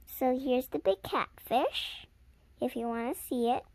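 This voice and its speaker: A young girl of about seven or eight reading a story aloud haltingly and chatting to viewers in casual asides. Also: high voice, lively intonation, darkish tone, a little hiss or hum. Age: child around seven or eight. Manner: halting, sounding out and repeating words.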